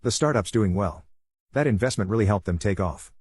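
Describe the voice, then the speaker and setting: Breezy, dismissive wife for narrated drama, done by a man. Brisk, dry sound. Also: fairly low pitch, expressive, a little mellow, husky, no echo. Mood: casual, evasive. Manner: casual, dismissive. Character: breezy, evasive middle-aged wife.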